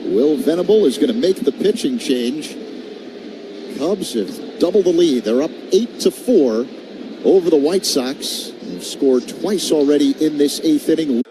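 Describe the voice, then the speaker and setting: Male baseball radio play-by-play announcer, fairly high voice, clearly noisy ballpark behind him. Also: unhurried. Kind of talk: live baseball radio commentary. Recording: noisy stadium ambience. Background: steady ballpark crowd noise.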